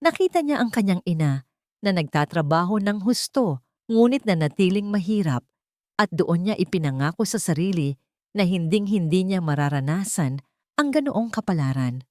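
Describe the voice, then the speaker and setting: A woman's voice: lowish voice, lively intonation, normal speed, a little mellow, no room sound, clean studio sound. A woman reading a scripted romantic drama as an audiobook narrator.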